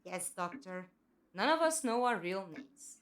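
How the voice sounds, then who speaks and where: A woman playing a composed, cautious leader of captives, measured and authoritative, in an audio drama. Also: mid-range voice, expressive, lightly acted. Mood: frank. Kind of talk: fan audio drama acting.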